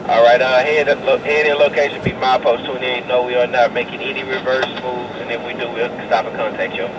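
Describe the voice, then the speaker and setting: Very noisy radio link, man, train crew, monotone, fast, matter-of-fact procedural replies. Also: medium pitch, far from the mic, highs cut off. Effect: two-way radio. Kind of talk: railroad radio dispatch chatter. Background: passing train noise.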